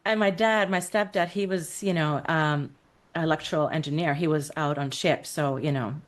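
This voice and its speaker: Low-voiced woman from Iceland, reflective. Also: normal speed. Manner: reflective, storytelling, frequent fillers and laughs. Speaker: podcast guest, creative coach. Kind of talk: casual interview conversation.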